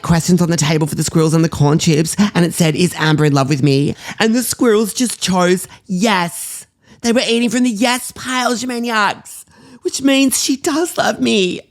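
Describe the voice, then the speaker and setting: A woman's voice: lowish voice, a little mellow, lightly acted. A woman playing a melodramatic young Australian fashion student, gushing and slangy as if confiding in podcast listeners. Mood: shocked, elated. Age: young adult. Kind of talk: confessional solo podcast monologue.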